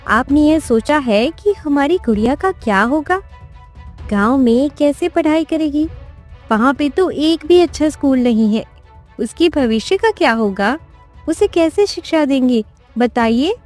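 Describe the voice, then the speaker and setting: A woman's voice: sits high, lively intonation, a little slow, darkish tone, soft background music. A woman voicing an angry, worried young wife and mother in a scolding, questioning manner for a cartoon story.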